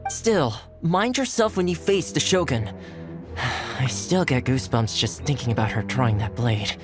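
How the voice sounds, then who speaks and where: A man's voice: medium pitch, normal speed, music in the background, lightly acted. Man as an easygoing, light-voiced young retainer. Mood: concerned. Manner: casual, chatty, expressive. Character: easygoing, friendly young male retainer in hiding. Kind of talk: video game cutscene voice acting.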